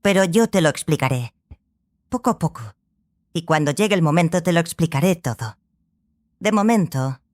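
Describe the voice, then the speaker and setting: A stern, scholarly sorceress played by a woman tells her story in a fantasy audiobook. She is grave and obsessive, with a measured delivery and deliberate pauses. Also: lowish voice, animated, darkish tone, natural voice. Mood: stern, intense. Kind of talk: dramatized audiobook dialogue.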